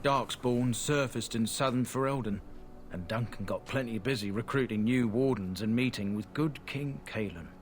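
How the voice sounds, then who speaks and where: An actor playing an earnest man out to restore his family's honour in a fantasy game, telling his story in a measured way. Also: mid-range voice, slight background noise, lightly acted. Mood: earnest explaining. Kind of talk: fantasy game character acting.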